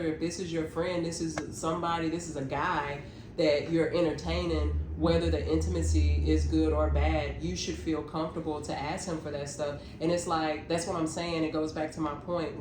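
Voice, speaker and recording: A woman's voice: deep voice, level tone, brisk, echoey room, background noise. A woman, co-hosting a podcast and earnestly reasoning through her point. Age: 30s. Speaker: podcast co-host. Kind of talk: casual podcast chat.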